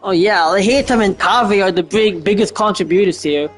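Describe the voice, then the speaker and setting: A man's voice: sits high, brisk, soft background music. A man giving casual, slangy, reactive commentary as a game streamer.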